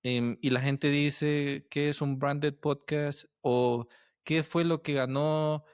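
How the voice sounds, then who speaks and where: A man's voice: fairly high pitch, little pitch movement, slow, clean tone, no room sound, studio quality. A man hosting a podcast, asking casual interview questions in a hesitant, meandering way. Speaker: podcast host.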